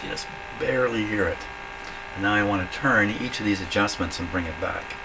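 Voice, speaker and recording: A man giving an informal hands-on repair demonstration, thinking aloud in a halting way as he works. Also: lowish voice. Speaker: radio repair hobbyist demonstrating. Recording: loud mains hum and workshop noise.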